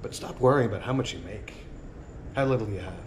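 A man's voice: on the low side, fast talker, a little mellow. A middle-aged man talking to camera on an outdoor porch by a marina, earnest and rambling as he muses on life and urges viewers to stop worrying. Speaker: sailing vlogger. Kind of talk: casual reflective monologue. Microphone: action camera on a tripod a few feet away. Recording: outdoor ambience noise. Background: outdoor ambience.

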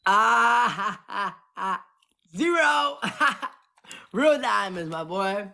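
A young man hosting a phone livestream, teasing his guest and shouting out viewers who send gifts. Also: sits high, lively intonation, drawn-out words, gravelly. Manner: boastful, teasing, shouting out gifters. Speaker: livestream host. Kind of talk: casual livestream banter. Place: indoor room. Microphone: phone at arm's length.

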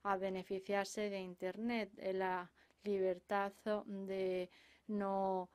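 A woman interpreting conference speeches simultaneously from a booth, halting often with filler sounds and restarts. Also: mid-range voice, little pitch movement, darkish tone.

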